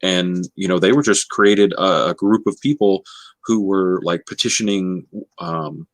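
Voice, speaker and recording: A man with a low voice in a close, dry recording. He talks casually as in a book club chat, pausing and rewording as he searches for the right phrase. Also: fairly even intonation, no echo. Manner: halting, searching for words. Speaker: book discussion host.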